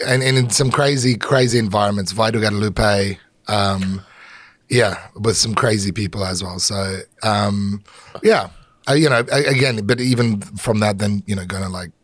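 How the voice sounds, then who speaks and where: A man's voice: fairly low pitch, normal speed, dry recording. A middle-aged man with a South African accent, a chef guest talking candidly on a podcast and swearing freely. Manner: candid, swears freely, many fillers and restarts. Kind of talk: podcast conversation.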